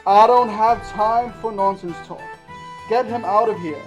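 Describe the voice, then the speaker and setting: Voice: mid-range voice, a little slow, muffled recording, faint music behind, natural voice. A streamer voicing a dismissive, impatient king in a puppet show, curt and commanding. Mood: irritated.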